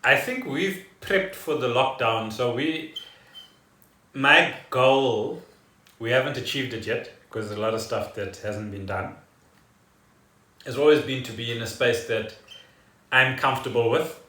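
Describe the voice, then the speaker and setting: A man co-hosting a casual podcast, speaking in halting, deliberate phrases. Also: mid-range voice, sharp tone. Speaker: podcast co-host. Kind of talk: casual unscripted chat. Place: echoey room at home. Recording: roomy echo, distant from mic.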